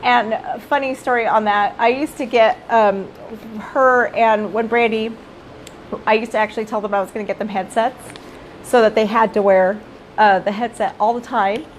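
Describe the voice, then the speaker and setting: Woman, middle-aged, hoarse, somewhat high-pitched, chatty, informal live advice talk. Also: steady tone, moderate pace, slight background noise, poor audio. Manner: chatty, addresses viewers as "you guys", often tags "right?". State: hoarse, losing her voice. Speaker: child care center director and coach.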